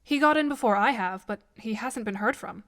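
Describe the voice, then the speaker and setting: Audio drama young intellectual, female actor: articulate, dry wit, formal phrasing, higher register. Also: a little fast, loud music behind the voice, close to an everyday voice. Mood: measured, analytical. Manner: precise, formal, wry. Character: dry-witted, articulate, composed young woman gamer.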